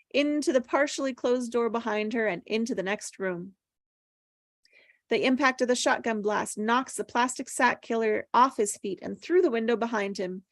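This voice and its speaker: A woman's voice: a little high, no room sound, studio quality. A woman reading stage directions aloud from a script at a table read, as scripted narration.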